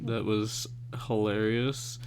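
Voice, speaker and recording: A man with a lowish voice hosts a casual readalong. He talks in a loose, unhurried way, stumbling a bit over his words. A faint hum runs under him. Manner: relaxed, hesitant, with fillers. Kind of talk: casual chat.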